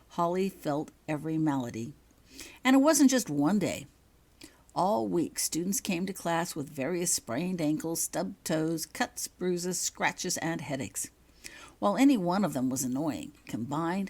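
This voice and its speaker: A woman with a low voice reads a work of fiction aloud. She narrates from a prepared text in the style of an audiobook, as the author of the story. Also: darkish tone. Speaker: author reading own fan fiction aloud.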